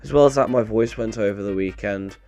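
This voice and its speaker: A man's voice: on the low side, a little fast, darkish tone, muffled recording. Wry male narrator, voice off from a cold. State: getting over a bad cold, voice slightly off. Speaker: video creator and narrator. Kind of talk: scripted narration with dry humour, casual outro.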